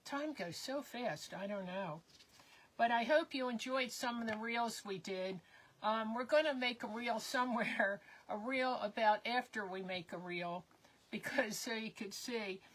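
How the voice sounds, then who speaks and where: Older woman, hoarse, chatty. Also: a little high, a little slow, a bit bright, low-quality recording. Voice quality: slightly hoarse. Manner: chatty, rambling with many ums. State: losing her voice. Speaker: co-host of a live crafting stream. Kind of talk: casual live-stream chat.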